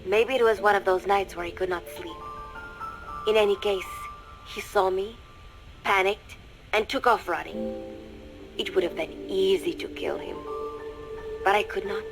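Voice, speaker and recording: A woman's voice: mid-range voice, music in the background, close to an everyday voice, rough recording. A woman acting conflicted young female spy, introspective. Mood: tense, confessional. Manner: measured, deliberate, introspective confession. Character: conflicted young female spy, coldly resolved yet fragile. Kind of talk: dramatic video game monologue.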